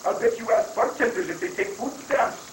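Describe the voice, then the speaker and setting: A man's voice: very high voice, expressive, a little fast. In a roomy, echoing space with faint noise, a man acts out a hippie guru. He is grandiose and stubborn, with a sardonic bite, in the manner of a stage satire. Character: self-important long-haired hippie guru, sardonic and stubborn. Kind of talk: dramatic reading of a satirical play. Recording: echoey room with faint noise.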